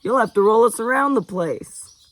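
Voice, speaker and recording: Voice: mid-range voice, lively intonation, moderate pace, pitched above the performer's own voice, lightly acted. A narrator voicing an easygoing, playful boy with a casual, joking way of talking in a storybook. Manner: casual, upbeat.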